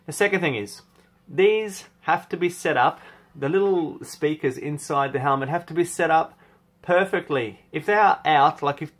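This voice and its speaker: A man in his thirties talks to a camera in a small room at home, reviewing gear in a loose, candid way. He restarts often and fills gaps with "uh" and "like." His voice sits at an ordinary middle pitch. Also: a bit bright. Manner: chatty, rambling, many restarts and fillers, candid. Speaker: motorcycle vlogger reviewing gear. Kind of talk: casual product review to camera.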